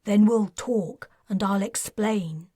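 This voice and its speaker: Brief: guilt-ridden male major read by a woman, urgent and pleading, unhurried. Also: mid-range voice, warm tone, breathy edge, dry recording, clean studio sound. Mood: earnest.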